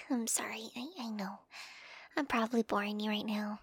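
A young woman whispering softly in an audio roleplay to the listener, giggly and intimate, close to the mic. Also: medium pitch, fairly even intonation, normal speed, husky, no echo. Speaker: roleplay performer.

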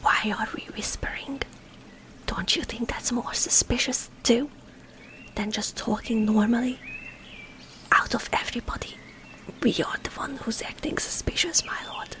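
A woman playing a teasing young medieval maid in an intimate roleplay drama, coy and playful, often dropping into breathy, hushed tones. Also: on the low side, slight background noise. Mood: hushed, conspiratorial. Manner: coy, playful, soft breathy asides, slow deliberate phrasing. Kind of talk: intimate roleplay audio drama, partly whispered.

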